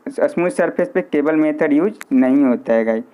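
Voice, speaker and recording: A man's voice: on the high side, moderate pace, dark tone, smooth. A man giving an informal instructional lecture, repeating key points and mixing in English technical terms. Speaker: physiotherapy teacher. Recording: muffled, narrow lo-fi sound.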